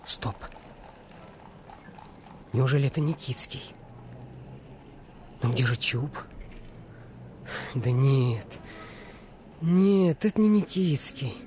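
An actor playing an earnest, determined adolescent boy sleuth in a Russian radio play, with a husky voice. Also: fairly high pitch, a little mellow, slight background noise.

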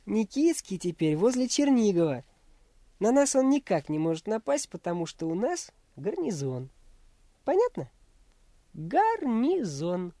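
A woman's voice: medium pitch, very animated, measured pace, dark tone, dry recording. A woman voicing a confident, know-it-all young boy in a radio play, with a superior, teasing manner.

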